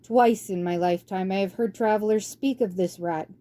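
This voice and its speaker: A woman's voice: mid-range voice, moderate pace, dark tone. A woman reading a storybook aloud as a scripted narration. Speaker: amateur audiobook reader.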